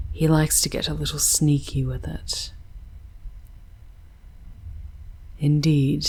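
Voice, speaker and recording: Casting: actress as tender demoness guide for ASMR roleplay; low, breathy, half-whispered, formal and archaic, unhurried delivery. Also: female voice. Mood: confiding. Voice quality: soft, breathy, often whispered. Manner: slow, archaic formal diction, intimate and soothing.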